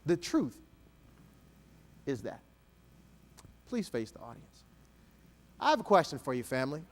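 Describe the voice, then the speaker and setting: A man from Texas giving a motivational keynote speech to an audience, playful and joking, slowing down for emphatic lines. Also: medium pitch. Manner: animated, dramatic pauses, slow emphatic dictation. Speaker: motivational speaker.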